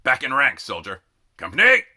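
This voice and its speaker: A male reader puts on a hard army drill sergeant from a novel. He is commanding and clipped, barking his lines with a very bright, hard edge and a lively pitch. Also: moderate pace, breathy edge, no echo, clean studio sound, full character voice.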